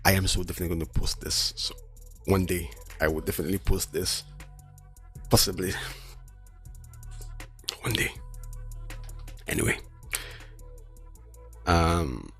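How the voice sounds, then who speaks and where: A man's voice: low-pitched, big pitch swings, unhurried, creaky, pauses often, fairly bright tone, soft background music. A man with a lisp who stutters and restarts words, rambling casually and self-deprecatingly as a streamer. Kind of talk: casual solo chat while testing mic settings.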